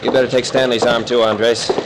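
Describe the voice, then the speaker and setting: An actor playing a confident, breezy, commanding captain-detective with an American accent in an old radio thriller. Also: male voice, medium pitch, level tone, brisk, lightly acted. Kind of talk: 1940s radio thriller acting. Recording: noisy old-time radio recording.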